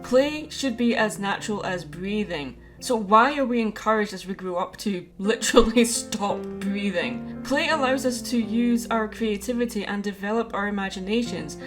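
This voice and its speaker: A woman narrates a personal video essay as the channel's host. Her voice sits at an average pitch, and soft music plays underneath. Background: background music.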